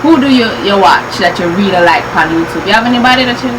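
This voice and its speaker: A woman's voice: medium pitch, fast talker, recorded from a distance, background noise. Young woman, faint Jamaican accent. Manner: relaxed, giggly, light Jamaican touches. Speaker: co-host, daughter. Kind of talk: casual chat.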